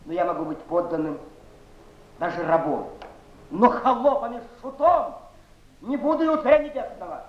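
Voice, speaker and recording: Wounded, proud poet, high voice, declamatory theatre delivery in an echoey 1970s stage recording. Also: male voice, expressive, moderate pace, pauses often, lo-fi sound. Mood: proud, defiant. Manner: declamatory, emphatic. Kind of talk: theatrical recitation of verse and letters.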